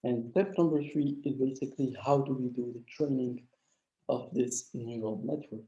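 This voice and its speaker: A man's voice: mid-range voice, moderate pace, mellow. A man with a mild flu teaching a live online coding lecture, explaining step by step with many filler sounds. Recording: dull lo-fi echoey room.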